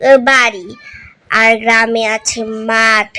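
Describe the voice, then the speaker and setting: A woman doing a cheerful cartoon schoolkid, high-voiced and unhurried, eager and encouraging. The recording is close and dry. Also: level tone, pushed and bright, clear, resonant tone, no room sound, heavily acted. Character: cheerful school friend, excited about holidays. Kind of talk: cartoon voice acting.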